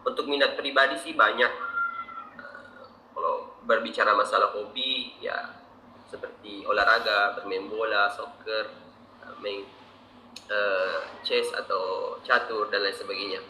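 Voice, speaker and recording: A young Indonesian man from Makassar with an Indonesian accent, answering interview questions in a measured, methodical way with frequent fillers. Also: on the low side, fairly even intonation, pushed and bright, a little hiss or hum. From Makassar, South Sulawesi, Indonesia. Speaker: interviewee, engineer. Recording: roomy, distant mic, faint ambience.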